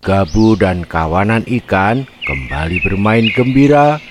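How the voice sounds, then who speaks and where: Slow, low-voiced man storyteller. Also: expressive, music in the background. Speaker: children's storyteller. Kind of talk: scripted narration of a children's fable.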